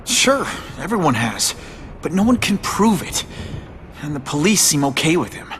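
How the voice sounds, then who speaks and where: A man's voice: fairly high pitch, big pitch swings, normal speed, fairly bright tone, slight background noise. An actor playing an earnest, wary young man interviewing as a reporter in a video game, with a casual, slightly hesitant manner. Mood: probing. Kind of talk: video game dramatic acting.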